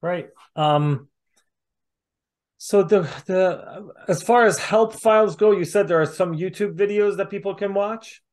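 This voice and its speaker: Halting male interviewer, slightly high voice. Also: darkish tone. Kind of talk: casual interview.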